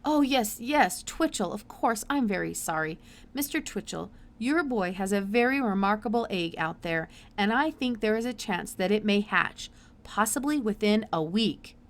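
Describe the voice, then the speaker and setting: A woman voicing a brisk, curious, absent-minded older male scientist in a storybook, with hesitant mutters and emphatic exclamations. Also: medium pitch, lively intonation, natural voice. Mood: eager, fussy.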